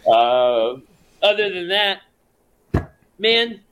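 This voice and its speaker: A man with a high, bright voice talks in a laid-back, playful way. He is a guest musician on a casual podcast, chatting loosely. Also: very animated, extremely slow, dull audio. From Florida.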